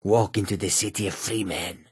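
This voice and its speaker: An actor playing a rough, greedy cat-folk bandit in a fantasy video game, with coarse, clipped, grumbling speech. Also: male voice, deep voice, steady tone, normal speed, darkish tone, no echo, studio quality.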